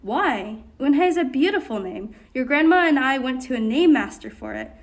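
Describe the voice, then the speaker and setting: A woman voicing a caring but firm mother in a picture book, speaking earnestly and gently instructing. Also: high-pitched, expressive, normal speed.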